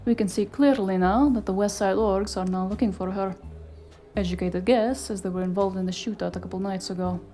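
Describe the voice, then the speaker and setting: A woman playing a sharp, street-savvy, exasperated fixer in a cyberpunk noir audio drama, sardonic and emphatic. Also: medium pitch, steady tone, brisk, faint music behind, close to an everyday voice. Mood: wary, analytical.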